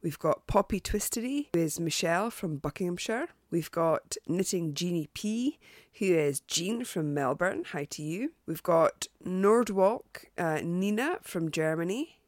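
A woman hosting a podcast, chatty and hesitant with lots of ums and restarts, sounding croaky and congested from a cold. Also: fairly low pitch, lively intonation, a little slow, dry recording, studio quality. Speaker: podcast host. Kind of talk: casual podcast sign-off.

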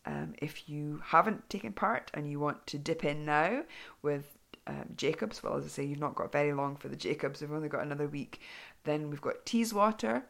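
A woman hosting a craft podcast in a chatty, rambling way, full of ums and restarts, her voice going hoarse. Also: low-pitched, a bit bright. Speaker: podcast host. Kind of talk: casual podcast chat.

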